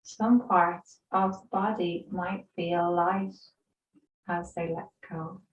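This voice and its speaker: Female meditation guide, lowish voice, very slow and soothing, recorded at a distance in a roomy space. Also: fairly even intonation, lo-fi sound. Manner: soothing, gentle, unhurried with pauses. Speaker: yoga instructor. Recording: roomy echo, distant microphone.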